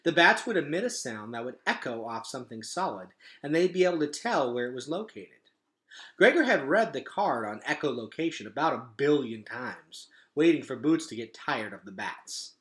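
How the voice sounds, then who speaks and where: A man reading a storybook aloud as an expressive, dramatic narrator. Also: medium pitch, moderate pace. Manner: animated, dramatic. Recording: echoey room.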